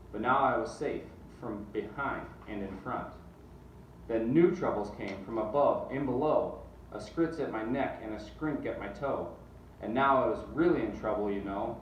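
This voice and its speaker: A man reads a rhyming storybook aloud with animated, rhythmic delivery. His medium-pitched voice sits back from the mic in an echoey room with faint noise. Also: moderate pace. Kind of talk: expressive storybook read-aloud. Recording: distant mic, roomy echo, faint noise.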